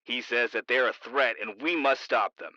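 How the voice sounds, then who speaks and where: A man's voice: mid-range voice, normal speed, sharp tone, dry recording, lo-fi sound, close to an everyday voice. An actor playing a late-night radio talk show host who hypes his audience in a showy way.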